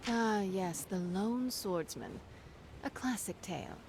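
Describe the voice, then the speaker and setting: A woman's voice: mid-range voice, expressive, takes it slowly. An actress playing a confident, teasing female pirate captain in a video game. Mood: sly, cool. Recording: noisy ambient game soundscape behind.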